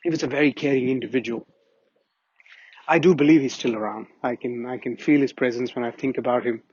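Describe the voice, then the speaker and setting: A man's voice: medium pitch, steady tone, fairly quick, a little mellow, slightly rough, no echo. A middle-aged man giving an earnest, reminiscing memorial tribute as a family friend, often restarting his sentences. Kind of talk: personal spoken tribute. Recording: dull, muffled audio.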